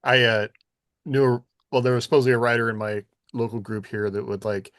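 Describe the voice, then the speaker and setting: A man's voice: mid-range voice, fairly even intonation, a little fast, fairly bright tone, no room sound, clean studio sound. A man co-hosting a podcast in an informal, questioning way, chatting casually with his colleagues. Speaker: podcast co-host.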